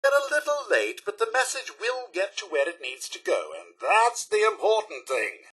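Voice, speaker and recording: An actor playing a fussy, servile robot butler in a video game, with a British accent, a raspy voice and pompous, overly formal manners. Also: male voice, sits high, big pitch swings, normal speed, a bit bright, exaggerated voice. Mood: dutiful, musing. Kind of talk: theatrical video game character lines.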